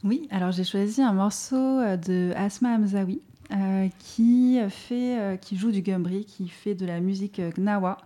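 A woman's voice: mid-range voice, measured pace, dark tone. A French woman, a podcast guest, talking in a casual, reflective way with many hesitations and restarts. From France.

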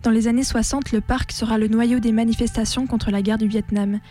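Mellow, even-voiced woman narrating. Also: a little high, fairly quick, faint music behind. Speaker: narrator of a radio documentary. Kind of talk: scripted documentary narration.

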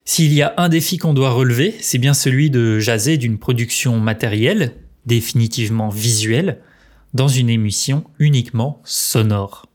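A man's voice: medium pitch, normal speed, a little mellow. A man co-hosting a podcast, reading a prepared script in a conversational tone. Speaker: podcast co-host. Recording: music playing behind the voice. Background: music.

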